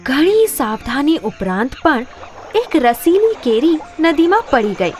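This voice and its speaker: A woman's voice: sits high, very animated, unhurried. A woman narrating scripted children's stories as a storyteller. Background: background music.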